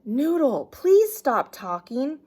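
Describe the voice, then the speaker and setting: Woman doing a patient schoolteacher, slow reprimands, storybook. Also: high-pitched, very animated, a little mellow, full character voice.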